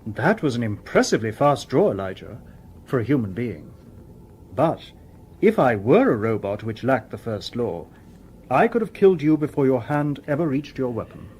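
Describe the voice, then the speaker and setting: An actor playing a polite, logical humanoid robot detective in a radio drama, speaking formally and precisely without emotion. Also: male voice, mid-range voice, sing-song, darkish tone, slight background noise, close to an everyday voice. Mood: calm, reasoning. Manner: formal, precise, measured, unemotional.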